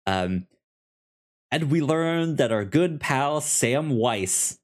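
A man's voice: medium pitch, animated, extremely slow. A man co-hosting a podcast, casually recapping a show and often rephrasing himself as he explains. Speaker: podcast co-host.

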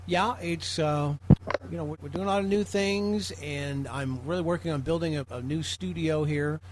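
A man with a fairly high voice chats casually and reflectively. His delivery is a bit hesitant. He is recorded in a small hollow room with faint noise behind him. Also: moderate pace, rough recording. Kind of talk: casual group chat. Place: small untreated room in new studio.

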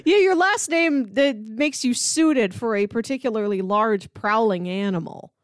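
A woman's voice: a little high, unhurried, dry recording, studio quality. A woman co-hosting a film review podcast, explaining things in a loose, conversational way peppered with filler words. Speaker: podcast co-host. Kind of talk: casual film review chat.

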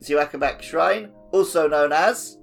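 A man's voice: a little high, measured pace, gravelly, loud music behind the voice. A man co-hosting a casual video game podcast, chatting over an internet voice call. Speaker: podcast co-host.

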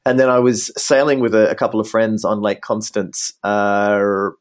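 A man's voice: lowish voice, flat delivery, moderate pace. A man in his thirties with an Australian accent, a guest telling his career story in an interview, thoughtful and full of ums and sort-ofs. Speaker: guest, business designer. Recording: muffled mic from beard rubbing.

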